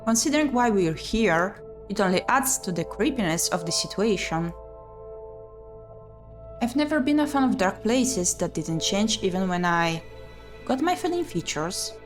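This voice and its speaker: A woman's voice: medium pitch, very animated, moderate pace, music in the background. A woman playing a visual novel on her channel, reading the text aloud and adding chatty commentary. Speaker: video game streamer.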